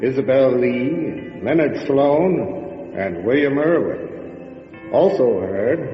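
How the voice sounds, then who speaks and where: A ghoulish, sardonic old-time radio horror host. He speaks theatrically at a crawling pace, with lively swoops in pitch. The voice rings with long echo. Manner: droll, theatrical, ominous. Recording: echoey long reverb, muffled, over music.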